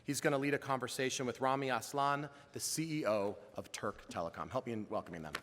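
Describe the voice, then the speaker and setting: A man's voice: mid-range voice, fast, a bit bright, a little hiss or hum. A man addressing a conference room from the stage, building his points in punchy repeated phrases. His voice carries a long hall reverb around a mid-range tone. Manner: rousing, emphatic, rhythmic lists. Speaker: summit host. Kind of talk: keynote speech.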